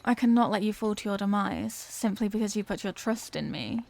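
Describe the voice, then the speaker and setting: A woman's voice: medium pitch, a little fast, creaky, a little mellow, a little hiss or hum, close to an everyday voice. A woman voicing an anguished, tender Victorian lady vampire in an intimate audio roleplay, speaking in formal, earnest phrases. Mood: distressed, pleading. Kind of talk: scripted audio roleplay, intimate dramatic monologue.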